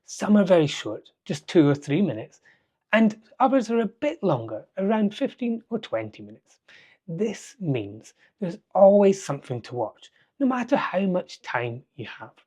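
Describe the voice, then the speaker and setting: A man presenting as a language teacher, with simple, clearly phrased scripted explanations for learners. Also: sits high, big pitch swings, moderate pace, dark tone.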